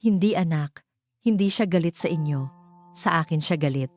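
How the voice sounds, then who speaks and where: A woman voicing a gentle, protective mother who reassures children in an audio story. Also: low-pitched, lively intonation, normal speed, soft background music. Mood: reassuring.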